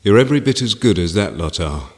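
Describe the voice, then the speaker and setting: A man's voice: fairly low pitch, very animated, fast talker. A man giving a reading of a poem, line by line. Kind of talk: poetry reading. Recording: reverberant, plucked guitar music behind. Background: plucked guitar music.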